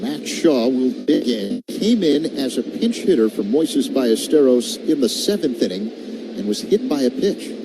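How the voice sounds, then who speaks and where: A man's voice: medium pitch, normal speed. A man doing live play-by-play baseball commentary for radio. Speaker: play-by-play announcer. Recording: noisy stadium ambience. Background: steady ballpark crowd noise.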